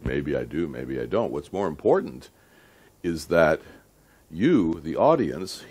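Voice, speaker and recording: Deep-voiced man giving a seminar lecture. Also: a little mellow, husky. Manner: deliberate, emphatic, conversational, with rhetorical check-in questions. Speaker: audio engineering lecturer.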